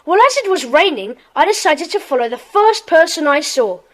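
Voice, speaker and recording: A woman's voice: sits high, very animated, moderate pace, a bit bright. A woman playing a keen, adventurous schoolboy in a children's audio drama. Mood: excited. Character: keen, adventurous schoolboy eager to do detective work.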